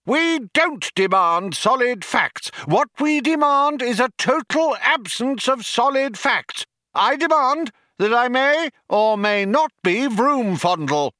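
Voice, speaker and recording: A man's voice: very high-pitched, lively intonation, takes it slowly, hardly any pauses, sharp tone, no echo, clean studio sound, pitched above the performer's own voice, heavily acted. A man voicing a hot-headed younger philosopher who bawls absurd demands with great emphasis. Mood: outraged, bawling. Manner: bawling, emphatic.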